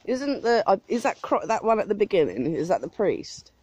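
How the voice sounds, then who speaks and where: A young woman vlogging as she walks through a churchyard, chatting softly and thoughtfully, filmed on a handheld camera at arm's length. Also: mid-range voice, big pitch swings, normal speed, breathy edge, dull audio. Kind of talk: casual chat. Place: outdoor churchyard, windy. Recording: loud wind and outdoor noise.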